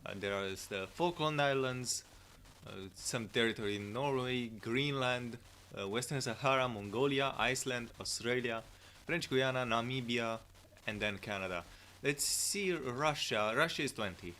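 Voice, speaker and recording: A man's voice: mid-range voice, normal speed, pushed and bright. Hesitant man streaming with static hiss. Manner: hesitant, many fillers and restarts. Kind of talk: casual live-stream commentary. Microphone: cheap low-volume mic.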